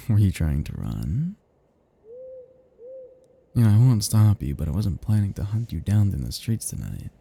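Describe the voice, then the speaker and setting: Man playing a low, dark, possessive vampire. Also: sing-song, gravelly, slight background noise, heavily acted. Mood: predatory, teasing. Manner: slow, teasing, archaic turns of phrase. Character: possessive seductive male vampire, menacing yet teasing. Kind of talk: intimate ASMR roleplay acting.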